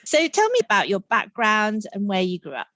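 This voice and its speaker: A woman's voice: medium pitch, wildly swooping pitch, normal speed, fairly bright tone, no room sound. A woman hosting an interview podcast, reading a guest introduction and asking short, friendly questions. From Singapore. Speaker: podcast host.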